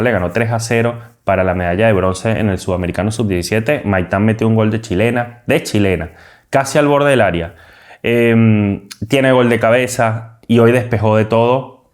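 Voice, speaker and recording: Man, enthusiastic football commentator, lowish voice, rambling casual delivery. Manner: enthusiastic, rambling, repeats and restarts phrases. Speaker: football commentator and channel host. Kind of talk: casual sports commentary monologue.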